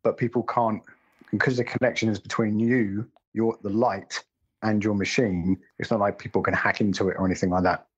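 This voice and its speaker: Casting: low, husky man, podcast co-host, casual rambling delivery, lo-fi sound. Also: flat delivery, fairly quick. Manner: rambling, self-correcting, wry asides. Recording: dull lo-fi sound.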